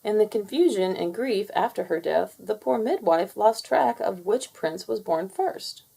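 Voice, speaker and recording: Gravelly woman reading a children's story. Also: mid-range voice, unhurried, poor audio. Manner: expressive, storytelling. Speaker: storybook reader. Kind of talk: children's read-aloud narration.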